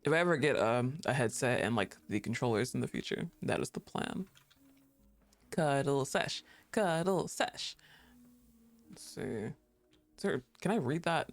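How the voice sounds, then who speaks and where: A young woman with a low, dark-toned voice chats through a game stream. She is playful, laughs a lot and swears freely. Her talk is loose and casual. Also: animated, a little slow, soft background music.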